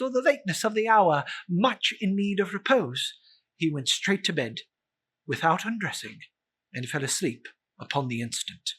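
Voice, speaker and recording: A man narrating a classic story in a measured, theatrical reading style. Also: a little high, a bit bright, husky.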